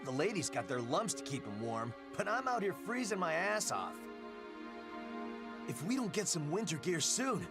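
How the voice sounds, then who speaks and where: The mouthy, griping young American trooper in a war game cutscene, voice leaping about over loud score. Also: male voice, high-pitched. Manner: blunt, slangy. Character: brash, foul-mouthed, griping young male soldier. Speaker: game voice actor. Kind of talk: dramatic game dialogue.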